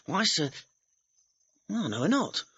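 An actor playing a cheeky, quick-witted young manservant in a classical audio drama, impudent and mock-deferential. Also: male voice, sits high, very animated, a bit bright, breathy edge, full character voice. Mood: pert, mocking. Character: cheeky, quick-witted young servant mocking his lovesick master. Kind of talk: classical stage-style audio drama acting. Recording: dull, band-limited vintage audio recording.

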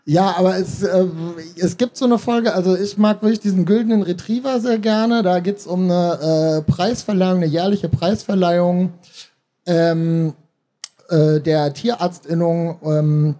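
Guy guest at a live talk, high mellow voice, halting, thinking as he goes. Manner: hesitant, searching for words. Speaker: podcast author, interview guest. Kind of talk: casual on-stage interview. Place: stage at a book fair. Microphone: handheld microphone.

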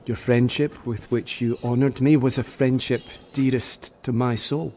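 A man's voice: medium pitch, fairly even intonation, darkish tone, slight background noise, lightly acted. Earnest dying Scottish poet played by a man. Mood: solemn, resigned. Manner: formal, measured. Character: dying Scottish poet, earnest, reciting his farewell letter. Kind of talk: radio drama acting, reading a letter.

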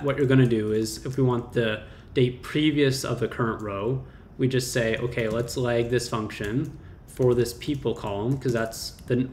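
A man's voice: mid-range voice, a little mellow. A young man casually walking through a coding problem, thinking aloud with fillers and small self-corrections as he types. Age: young adult. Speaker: coding tutor. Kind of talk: casual screen-share coding walkthrough. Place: small indoor room. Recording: roomy echo, faint keyboard noise.

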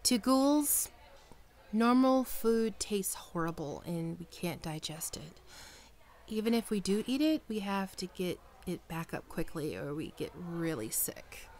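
A woman's voice: on the low side, expressive, unhurried, darkish tone. A woman playing a friendly young female ghoul best friend in an ASMR roleplay, chatty and playful. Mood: friendly, casual. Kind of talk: ASMR roleplay, acted one-sided dialogue.

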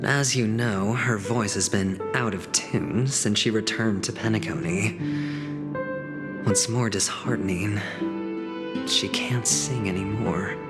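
An actor playing a suave, confident young gambler and schemer in a video game, smooth-talking, teasing and insinuating. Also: male voice, fairly low pitch, slow pace. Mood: taunting, insinuating. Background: game soundtrack music.

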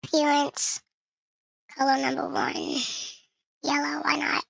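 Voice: high-pitched, monotone, slow, gravelly, fairly bright tone, no room sound, dull audio. A young-sounding gamer speaking through a voice changer, chatting to viewers and thinking aloud in casual game commentary. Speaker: gaming video creator.

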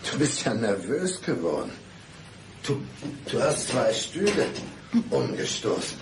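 An actor playing a coldly calm husband who plots murder, speaking deliberately in a German radio crime drama. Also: male voice, medium pitch, background noise. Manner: deliberate, menacing composure, philosophizing. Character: coldly calm husband plotting to kill his wife. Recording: 1990s radio play with music behind.

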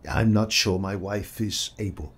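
An actor playing a kindly, modest portrait photographer in a period audio drama, polite, reassuring and slightly hesitant. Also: male voice, fairly low pitch, steady tone, a little slow, breathy edge.

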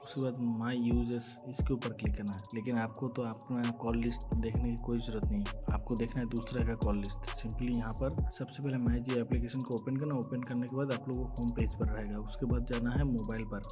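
Guy doing a casual step by step how-to voiceover, medium pitch, music playing behind. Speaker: tech tutorial YouTuber. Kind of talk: casual scripted tutorial narration. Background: background music.

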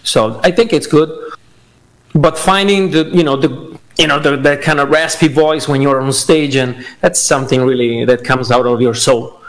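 A man's voice: medium pitch, fairly even intonation, moderate pace, rough recording. A young man, a guest rock singer, talking hesitantly with many fillers over a headset on a video call. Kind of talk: casual interview chat. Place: home room.

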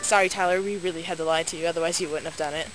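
A woman's voice: fairly low pitch, fairly even intonation, very fast, fairly bright tone, soft background music. A young woman chatting casually with friends in a playful, slangy, teasing way. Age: young adult. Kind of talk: casual chat among friends. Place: outdoor park by a pond, windy and cold. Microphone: handheld camera at arm's length. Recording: noisy outdoor handheld camera audio.

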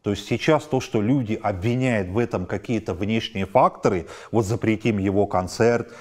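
A low-pitched man in his forties talks through an interview in a modern apartment. He piles up examples and pushes his emphasis higher as the list goes on. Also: normal speed. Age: 40s. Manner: animated, lists examples with rising emphasis. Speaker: film director. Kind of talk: interview answers. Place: high-rise apartment at night, indoors.

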